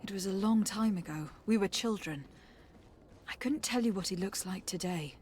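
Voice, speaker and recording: A woman's voice: medium pitch, normal speed, a little mellow, slight background noise, lightly acted. An actress playing a poised, courteous young noblewoman diplomat in a video game, speaking formally and reassuringly. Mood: sympathetic. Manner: polite, composed, formal. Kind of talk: scripted video game dialogue.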